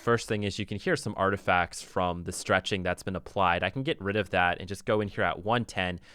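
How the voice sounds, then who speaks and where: Male tutor, low-pitched, very dry close recording, casual demo style, informal and thinking out loud. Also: fairly even intonation, brisk, clean tone, dry recording. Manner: informal, thinking aloud while demonstrating. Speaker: music production tutor. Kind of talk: casual software tutorial talk.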